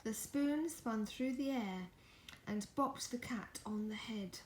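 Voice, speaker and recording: A woman reading a picture book aloud in a soft ASMR style, gentle and coaxing, slipping into breathy whispers. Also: fairly high pitch, slow pace.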